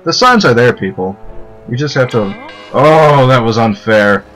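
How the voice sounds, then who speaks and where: A young man with a deep voice giving casual, joking let's play commentary, rambling and laughing as he plays. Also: animated, unhurried, a bit bright, faint music behind.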